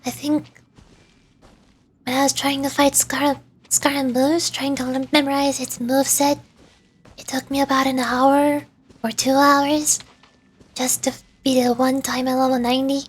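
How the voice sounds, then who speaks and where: High-pitched woman chatting on a game stream. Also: flat delivery, unhurried. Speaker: livestreamer, vtuber. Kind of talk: casual gaming livestream chat.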